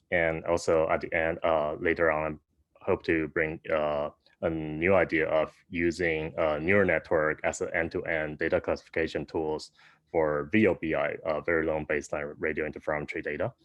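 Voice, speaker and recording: A young man from Taiwan giving an online academic talk, soft-spoken with many fillers and restarts, over a video call. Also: extremely low pitch, no room sound. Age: young adult. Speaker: guest speaker.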